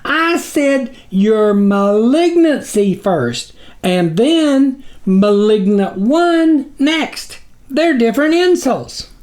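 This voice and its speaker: Squeaky, grovelling little demon. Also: male voice, animated, extremely slow, a little mellow, full character voice. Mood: flustered pleading. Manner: flowery, fawning deference. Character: tiny squeaky grovelling demon underling, nervously obsequious.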